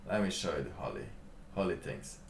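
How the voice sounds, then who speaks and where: A man live streaming, chatting casually in a halting, rambling way with lots of fillers. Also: low-pitched, very animated, gravelly, slight background noise. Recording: roomy, distant mic with faint ambience.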